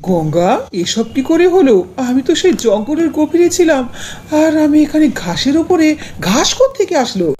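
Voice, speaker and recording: A woman's voice: high-pitched, sing-song, normal speed, darkish tone, a little hiss or hum, voice pushed up. A woman voicing an earnest, exclamatory woodcutter father in a cartoon fairy tale. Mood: bewildered. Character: poor woodcutter father, devoted, easily bewildered.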